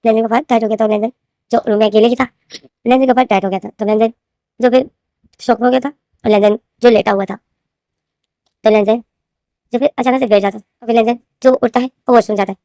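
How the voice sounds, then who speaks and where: A woman's voice: on the high side, steady tone, quick pace, long pauses, warm tone, no room sound, clean studio sound. A woman with a sore throat casually retelling her own fanfiction story, rambling and repeating herself as she talks to her viewers. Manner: rambling, repeats names and phrases, addresses viewers as guys. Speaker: fanfiction author and narrator.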